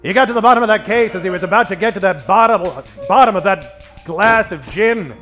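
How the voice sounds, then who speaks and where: A man's voice: high voice, a bit bright. A man narrating a tongue-in-cheek 1940s-style radio detective show, melodramatic, drawing out his punchlines. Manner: theatrical, melodramatic, drawn-out punchlines. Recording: music playing behind the voice.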